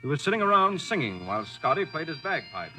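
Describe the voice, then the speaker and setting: A man's voice: a little high, very animated, fast, bright, forceful tone, faint music behind. An actor playing an earnest, steadfast young commando, a man narrating in 1940s radio style. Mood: earnest, proud. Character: earnest, steadfast young commando soldier recalling his training.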